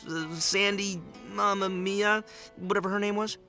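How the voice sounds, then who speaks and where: A man voicing a loud, boastful, goofy young gang boss in a video game, slangy and bombastic. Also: high voice, steady tone, unhurried, soft background music, heavily acted. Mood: protesting. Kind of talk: animated video game dub acting.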